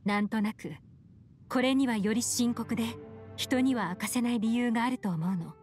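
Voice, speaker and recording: A woman's voice: fairly high pitch, normal speed, a little mellow, close to an everyday voice. A woman performs a mysterious female agent in an anime game. She sounds cool, poised and self-assured, with a sly, teasing femininity, over faint music. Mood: composed, pensive.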